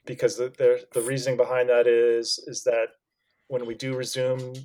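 A man's voice: mid-range voice, completely flat delivery, darkish tone. Man explaining things in casual chat. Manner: explanatory, halting with restarts and fillers. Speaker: podcast co-host.

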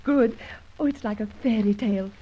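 A woman's voice: on the high side, moderate pace, warm tone, slightly rough. An actress playing a cheerful, gushing young woman in an old radio drama. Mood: happy. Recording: dull old radio recording.

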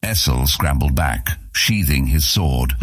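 A man with a deep, husky voice reading scripted fantasy audiobook narration. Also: expressive, slow.

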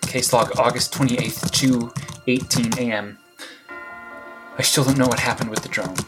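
A man's voice: mid-range voice, steady tone, moderate pace, over music, lightly acted. An actor playing a rattled young male hero who stammers anxiously as he records a case log in an audio drama. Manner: stammering, anxious self-talk. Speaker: superhero keeping a case log.

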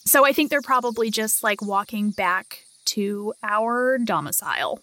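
A woman's voice: mid-range voice, unhurried, pushed and bright. A woman talking casually as a player in an improvised tabletop game.

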